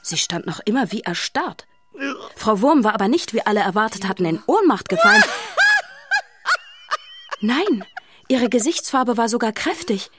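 A woman's voice: a little high, very animated, moderate pace, long pauses, background noise. A woman playing a storyteller who witnessed a haunting, narrating a children's radio play with suspense. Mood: suspenseful. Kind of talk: scripted dramatic narration for children. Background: music.

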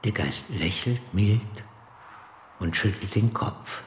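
An actor playing a solemn, mild angel of annunciation in a radio play, reciting in a measured biblical manner. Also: male voice, fairly low pitch. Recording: roomy echo, faint background noise.

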